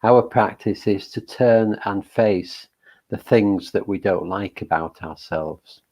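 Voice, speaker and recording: A man with a very deep, low voice leads a meditation session with a reflective Buddhist talk. He speaks slowly and gently, thinking aloud as he goes, with frequent pauses and fillers. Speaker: meditation leader.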